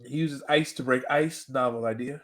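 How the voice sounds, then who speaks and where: A man's voice: medium pitch, fairly even intonation, moderate pace, fairly bright tone. A man co-hosting a casual rewatch podcast, explaining things conversationally as he goes. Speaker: podcast co-host. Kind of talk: casual animated-series rewatch chat with a co-host.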